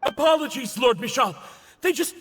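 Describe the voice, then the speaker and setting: Casting: panicky servile soldier for a game, strained bright high voice, long reverb. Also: male voice, lively intonation, moderate pace, slightly rough, heavily acted. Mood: panicked. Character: panicked, deferential male mercenary underling. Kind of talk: video game voice acting.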